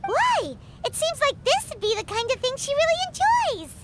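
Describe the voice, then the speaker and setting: A woman voicing a tiny, excitable floating fairy girl in a video game, with a childlike, squeaky, bubbly delivery. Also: normal speed, heavy background noise. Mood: curious. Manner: bubbly, refers to herself in third person. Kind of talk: cartoonish video game voice acting.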